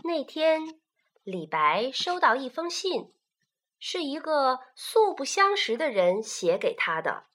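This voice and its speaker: Bright-voiced woman telling stories to children. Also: high-pitched. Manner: slow, expressive storytelling for children. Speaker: narrator. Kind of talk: children's story narration.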